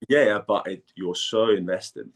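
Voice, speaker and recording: A man from Denmark speaking casually as an interviewed actor, halting and repeating phrases as he searches for words. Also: fairly low pitch.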